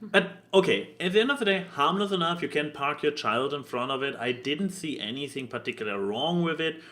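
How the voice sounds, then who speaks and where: Higher-voiced man chatting casually on a podcast. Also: expressive, a little fast, bright, forceful tone. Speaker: podcast co-host. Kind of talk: casual opinionated discussion of cartoons.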